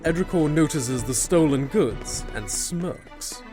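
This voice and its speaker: A man's voice: fairly high pitch, expressive, slow. A man narrating a scripted fantasy audio drama, with music underneath.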